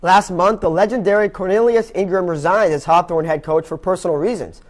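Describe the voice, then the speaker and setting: A man's voice: high-pitched, brisk. A young man delivering sports news as an anchor, in a scripted broadcast style. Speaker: sports anchor and play-by-play announcer. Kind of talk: scripted sports newscast and baseball commentary.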